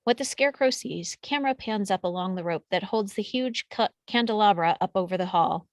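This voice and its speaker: Lady reading screenplay action lines, normal pitch, no room sound. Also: a little fast, no echo, studio quality. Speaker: stage directions reader. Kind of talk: scripted screenplay narration.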